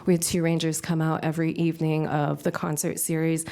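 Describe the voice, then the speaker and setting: Woman at a council podium, slightly low-pitched, scratchy with a cold, earnest and upbeat, lots of ums. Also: little pitch movement, brisk, warm tone. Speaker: presenter to city council. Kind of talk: public presentation at a council meeting. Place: city council chamber.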